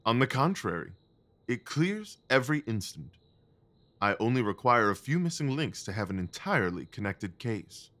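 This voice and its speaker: A man acting a self-assured, decisive detective in an audio drama, speaking with calm, deliberate authority. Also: medium pitch. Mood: confident.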